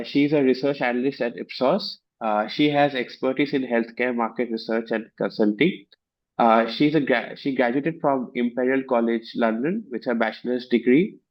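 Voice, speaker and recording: A man hosting a conversational interview show, speaking in a halting, hesitant way. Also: mid-range voice, steady tone. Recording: dull, muffled lo-fi audio.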